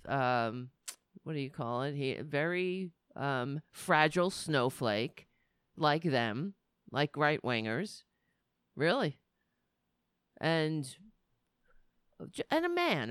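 A woman's voice: low voice, smooth, no room sound, studio quality. A woman hosting a livestreamed political talk show, talking in a chatty, rambling and sardonic way.

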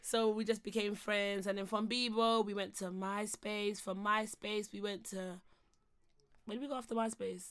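A young woman, co-hosting a podcast in playful, loud casual chat. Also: medium pitch, little pitch movement, normal speed. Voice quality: husky. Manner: loud, playful, switches accents. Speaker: podcast co-host.

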